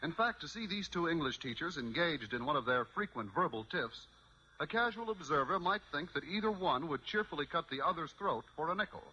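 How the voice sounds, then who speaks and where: A man's voice: a little high, animated, fairly quick, sharp tone, slightly rough. A man introducing a vintage radio comedy as its announcer, reading scripted narration in a 1950s broadcast style. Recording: dull, noisy old radio recording.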